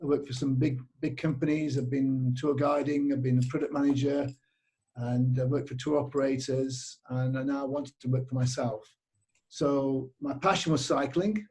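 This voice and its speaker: A man's voice: mid-range voice, steady tone, moderate pace, mellow. A middle-aged man with a British accent, a cycling tour operator chatting casually in an interview, rambling with lots of fillers and restarts.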